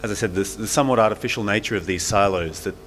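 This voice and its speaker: A man's voice: fairly low pitch, rapid-fire, fairly bright tone, a little hiss or hum. A man speaking as a panelist at a conference, thoughtful and hedging as he works through his points. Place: conference hall.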